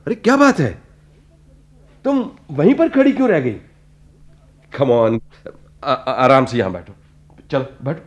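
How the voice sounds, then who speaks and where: A man's voice: mid-range voice, expressive, slow, long pauses. A man acting in a radio play as a self-centred, irritable film celebrity, condescending and exclaiming, with English phrases mixed in. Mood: irritated, then coaxing.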